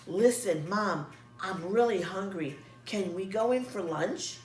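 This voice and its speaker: A woman voicing an eager, curious young boy in a children's storybook, with excited, wondering delivery. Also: medium pitch, slow, close to an everyday voice. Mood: casual. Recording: echoey room, distant mic, music behind.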